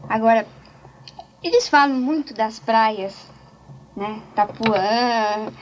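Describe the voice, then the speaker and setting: A woman's voice: on the high side, slow. Woman recounting a trip, casual and chatty, lo-fi tape hiss. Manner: chatty storyteller, frequent restarts. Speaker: interviewee. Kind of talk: casual group conversation. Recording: dull lo-fi tape recording.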